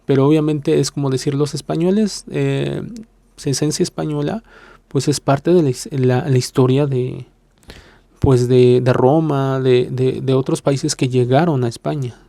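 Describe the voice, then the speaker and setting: A man's voice: mid-range voice, fairly even intonation, measured pace, creaky, warm tone, dry recording. A Mexican man with a Mexican accent, hosting a casual reaction video, talking hesitantly with many fillers and playing down his own opinion. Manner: hesitant, lots of filler sounds and restarts; self-deprecating, hedges his opinions.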